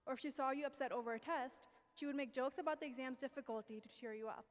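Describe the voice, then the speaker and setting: A young woman reading a steady, affectionate tribute speech about a school friend. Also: high voice, fast. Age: young adult. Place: event hall. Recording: long hall reverb.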